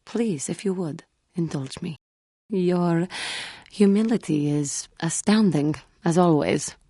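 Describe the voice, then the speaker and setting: An actress playing a solemn, proud yet wounded female feline alien warrior monk in a video game, speaking in a formal, measured, guarded and earnest way. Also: on the low side, mellow, no room sound, clean studio sound. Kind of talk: video game character dialogue.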